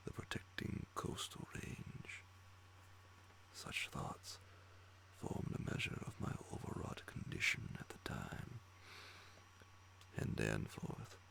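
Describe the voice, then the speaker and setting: A man reads horror fiction as an ASMR bedtime story. His voice is a breathy, very deep whisper full of heavy gravel. He moves slowly and deliberately through each hushed phrase. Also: a little hiss or hum. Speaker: audiobook reader of horror stories.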